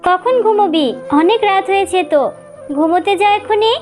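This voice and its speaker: A woman's voice: extremely high pitch, moderate pace, a little mellow. A woman voicing a busy, loving fox mother in a children's cartoon, motherly and given to nagging. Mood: exasperated, scolding. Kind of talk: Bengali children's cartoon voice acting. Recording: music playing behind the voice.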